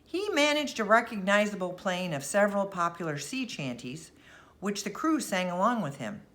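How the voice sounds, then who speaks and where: A woman reading a bedtime story aloud as narrator, expressive and deliberate. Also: medium pitch.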